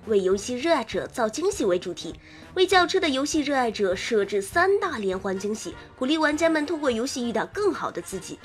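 A woman host reading scripted game news in an expressive presenter's manner, slipping in wry, playful asides. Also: on the high side. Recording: music under the voice. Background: background music.

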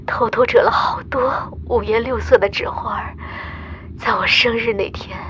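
A woman's voice: on the high side, steady tone, moderate pace, bright, forceful tone, whispered, lo-fi sound. A woman playing a tender, emotional mother speaking heartfelt words to her child in a radio drama voice message. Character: tender, emotional astronaut mother addressing her young daughter.

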